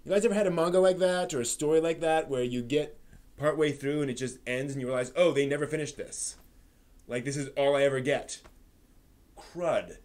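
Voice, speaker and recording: A man hosting a live stream, giving a manga review in an articulate, expressive way. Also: a little high, fairly quick. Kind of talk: manga review on a live stream, part prepared, part casual chat.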